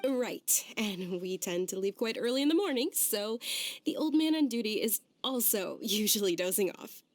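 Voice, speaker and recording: A woman voicing a gentle, cheerful young noblewoman in a video game, with a polite, sweet manner. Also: medium pitch, expressive, moderate pace, close to an everyday voice. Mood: cheerful, gracious. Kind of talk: video game dub acting.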